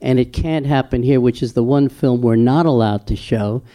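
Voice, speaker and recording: A man's voice: mid-range voice, normal speed, warm tone. A man conducting an interview in an informal, chatty way, adding knowledgeable asides.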